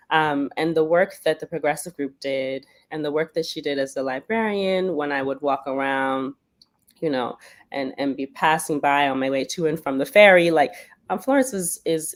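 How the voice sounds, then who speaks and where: Woman, Bermudian accent, low-pitched, animated, fillers, interview guest. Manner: animated, searching for words with many fillers. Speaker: guest, Broadway performer. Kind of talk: casual interview talk. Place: lives in New York.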